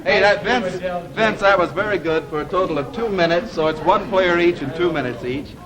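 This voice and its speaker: A man's voice: high-pitched, a little fast, rough recording. A man hosting a live panel game show with chatty patter, on an old TV soundtrack. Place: television studio with audience. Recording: old TV soundtrack, loud studio crowd.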